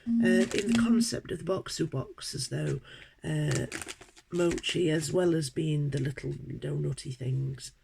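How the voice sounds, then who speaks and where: British woman vlogger, croaky from a cold, unhurried and halting, slight background hiss. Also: sits low. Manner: hesitant, many ums and pauses. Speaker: unboxing vlogger. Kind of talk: casual unboxing chat.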